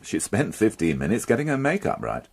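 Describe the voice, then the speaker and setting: A man's voice: lowish voice, wildly swooping pitch, brisk, creaky, a little mellow, husky, full character voice. A man playing a sardonic, world-weary, middle-aged police inspector in a British radio crime drama, with dry, biting delivery. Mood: wry, relaxed.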